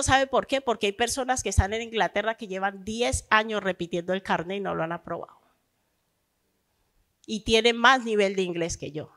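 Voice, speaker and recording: A woman's voice: medium pitch, fairly bright tone. A woman pastor giving a sermon, telling a personal testimony in a conversational way and building to fervent preaching. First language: Spanish.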